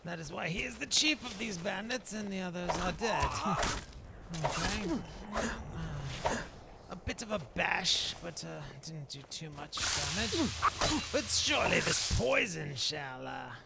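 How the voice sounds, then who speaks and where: A gravelly, slightly high-pitched Dark Elf shaman, played by a man narrating his own game adventure. He is genteel and mild, musing aloud with archaic turns of phrase and frequent hesitation. The recording has clear background noise. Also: expressive, unhurried, exaggerated voice. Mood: amused, determined. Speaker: gamer roleplaying in a video. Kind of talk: in-character improvised gameplay narration.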